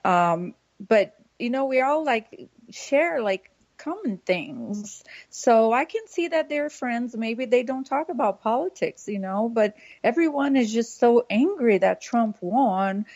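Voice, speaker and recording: A woman's voice: a little high, lively intonation, slow, hardly any pauses, darkish tone, no echo. Woman chatting on a dry lo-fi podcast. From Brazil. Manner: chatty, rambling, full of fillers and laughs. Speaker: podcast co-host. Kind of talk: casual political chat.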